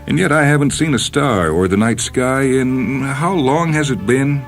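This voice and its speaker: A lonely stranded spaceman muses wistfully and gently , as a male narrator acts him out. The voice is lifted from a very low natural register, in a radio storytelling style with soft music beneath. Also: animated, unhurried. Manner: wistful, musing, talking gently to himself. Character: lonely stranded spaceman, wistful, talking to his animal friend. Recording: music bed under the voice.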